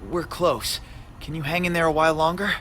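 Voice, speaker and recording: An actor playing a worried, protective young superhero in a video game, speaking in an earnest, pleading way. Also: male voice, a little high, fairly even intonation, fairly quick, a bit bright, a little hiss or hum, lightly acted. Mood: concerned, reassuring. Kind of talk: video game cutscene acting.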